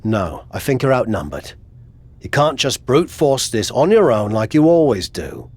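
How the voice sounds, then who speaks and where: A man's voice: medium pitch, sing-song, a little slow, darkish tone, slightly rough, full character voice. A man playing a frank, supportive friend and adviser in a wrestling video game, speaking earnestly and conversationally. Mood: earnest, urging.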